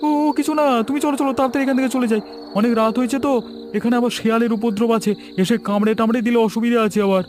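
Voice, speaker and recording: A man voicing a friendly but evasive village fellow in a cartoon story, coaxing and hurried in manner. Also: extremely high pitch, steady tone, a little mellow, pitched above the performer's own voice. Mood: nervous, hurried.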